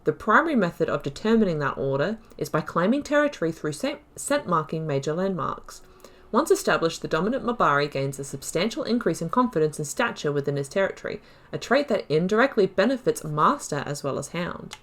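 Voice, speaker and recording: A woman's voice: on the low side, very animated, a little fast. A woman hosting a gaming video, chatting casually through a playthrough and reading lore aloud.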